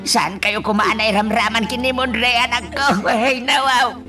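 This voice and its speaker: An actress playing a guilt-stricken parent lamenting in drawn-out wails in an Ilocano radio melodrama. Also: female voice, on the high side, steady tone, over music, close to an everyday voice. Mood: guilty lament.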